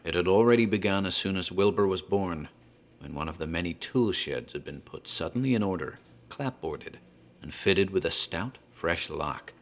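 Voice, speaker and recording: A man narrating a gothic horror tale in a measured, formal, dramatic literary style. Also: low-pitched, lively intonation.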